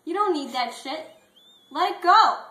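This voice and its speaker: Woman doing a big loyal dog buddy from a storybook, sweary and insistent, high sharp voice, echoey. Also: expressive, a little slow, heavily acted. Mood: fired-up, consoling. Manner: emphatic, insistent, profane.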